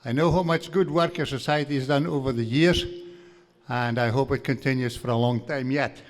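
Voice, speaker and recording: A man's voice: medium pitch, steady tone, moderate pace. An older man from Aberdeenshire with a broad Doric Scottish accent, giving a jovial after-dinner lecture at a stand mic in a large hall. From Aberdeenshire, Scotland. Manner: jovial, folksy, reading from notes. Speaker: guest speaker. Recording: long hall reverb.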